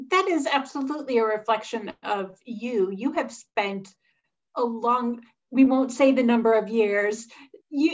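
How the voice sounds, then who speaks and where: A fairly high-voiced woman interviewer speaks with a warm, affirming manner as she leads into a question. The audio sounds dull and lo-fi. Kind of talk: interview conversation.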